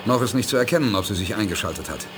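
An actor in a 1980s German radio play playing a steady, resolute spaceship commander with a sober, authoritative manner. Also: male voice, lowish voice, rapid-fire, faint music behind. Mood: composed.

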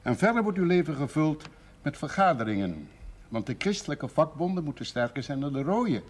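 Blunt, avuncular older gentleman. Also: fairly high pitch, sing-song, a little fast. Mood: reproachful. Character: older provincial gentleman, genial but blunt, worried father. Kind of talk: 1970s Dutch television drama acting.

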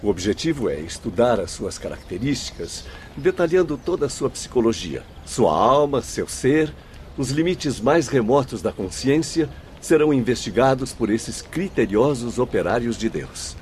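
An actor playing a caring, serene spirit official in a Brazilian radio drama, explaining things formally and deliberately. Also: male voice, mid-range voice, very animated, a little mellow, a little hiss or hum, natural voice. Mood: instructive. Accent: Brazilian Portuguese.

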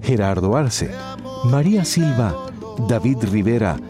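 A man's voice: mid-range voice, sing-song, measured pace, music in the background. A man reading scripted credits as the announcer of a radio drama. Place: recording studio.

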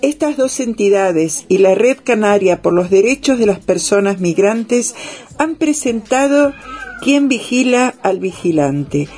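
A woman's voice: mid-range voice, lively intonation, a little slow, dark tone, husky, soft background music. A woman radio host reading a prepared introduction and asking interview questions. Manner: reads a prepared script, then asks questions. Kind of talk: scripted news-style introduction.

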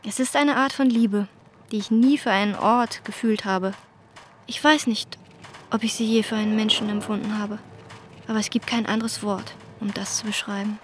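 A woman's voice: mid-range voice, fairly even intonation, normal speed, a little hiss or hum, natural voice. An actress playing a tender woman searching for words in a poetic radio drama monologue.

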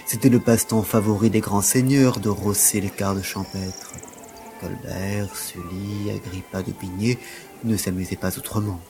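An actor playing an earnest, self-dramatizing gentleman lover in a French audio drama, speaking in a formal, literary way. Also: lowish voice, steady tone, a little slow, faint music behind. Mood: self-justifying.

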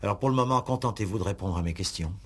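An actor playing a stern, impatient examining magistrate in a 1960s radio play, curt and condescending. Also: male voice, fairly low pitch, racing, slightly rough. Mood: stern, dismissive. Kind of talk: 1960s radio drama acting.

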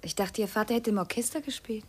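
An actress playing a gentle, secretive aunt in a 1950s German radio play, speaking in a soft, hushed voice. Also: female voice, medium pitch, fast talker, close to an everyday voice. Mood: gently curious. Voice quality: hushed, breathy. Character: gentle, secretive aunt by marriage, softly spoken woman.

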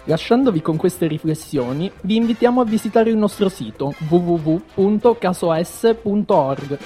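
A man with a dark, very mellow tone reads scripted radio narration. His pitch sits a little high, his delivery is measured and expository, and soft music plays behind him. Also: animated. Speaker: radio presenter of a history feature.

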